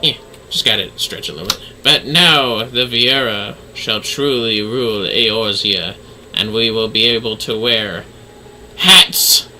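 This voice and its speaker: A man's voice: on the low side, a little slow, sharp tone, a little hiss or hum, poor audio. A man giving casual livestream commentary on a game, with playful, theatrical asides.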